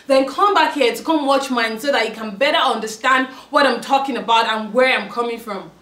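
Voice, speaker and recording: A woman's voice: a little high, a little fast, a bit bright. A young woman with a Yoruba Nigerian accent gives her opinion to camera in an earnest voice and presses her point with pointed rhetorical questions. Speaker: YouTube vlogger. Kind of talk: opinion video monologue. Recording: echoey room, mic at a distance.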